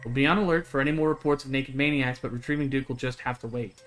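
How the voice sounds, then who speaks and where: A man's voice: mid-range voice, steady tone, quick pace, fairly bright tone, faint music behind, lightly acted. A man playing a serious, formal male deputy in a visual novel, insistent and procedural in manner. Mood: officious.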